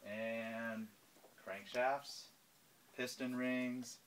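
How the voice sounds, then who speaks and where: A man's voice: mid-range voice, steady tone, very slow, a bit bright. Man giving an echoey warehouse tour. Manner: lists items while walking, filming handheld. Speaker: shop tour guide. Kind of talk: casual walking shop tour. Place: large indoor parts warehouse and shop. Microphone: handheld phone camera. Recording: echoey warehouse, faint hum.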